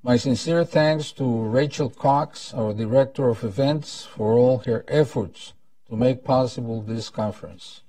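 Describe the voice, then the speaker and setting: A man's voice: medium pitch, measured pace, dark tone, no echo. Old costa rican guy opening a conference, solemn speech, dry sound, bit far from the mic. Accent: Costa Rican Spanish. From Costa Rica. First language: Spanish. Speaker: event host, former ambassador. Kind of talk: formal prepared welcome speech. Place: indoor conference room at a lectern. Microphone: lapel mic.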